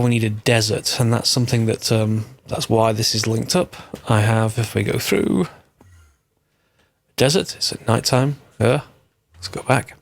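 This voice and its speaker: A man, slightly hoarse from a cold, giving a casual game tutorial and thinking aloud as he corrects himself. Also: mid-range voice.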